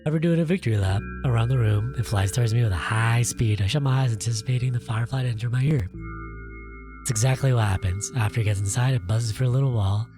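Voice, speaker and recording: A video host reading a visual novel's text aloud in an expressive storytelling style. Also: medium pitch, quick pace, over music.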